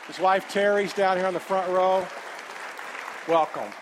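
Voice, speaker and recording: Pastor, male, high voice, emphatic sermon delivery, reverberant hall. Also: steady tone, unhurried, a crowd in the background, background noise. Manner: emphatic, repeats phrases.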